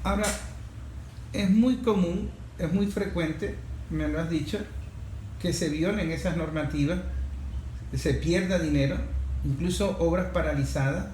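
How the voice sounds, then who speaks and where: A man's voice: a little high, measured pace, long pauses, a little mellow. A Venezuelan man speaking as an interviewer, explaining conversationally and repeating phrases for emphasis. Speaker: interviewer, show host. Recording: echoey room with faint noise.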